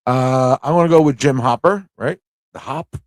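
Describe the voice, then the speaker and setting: A man's voice: mid-range voice, moderate pace, no echo, clean studio sound. A man hosting a casual live-stream trivia show, chatty and playful, often thinking aloud to his viewers. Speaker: host of a live pop culture show.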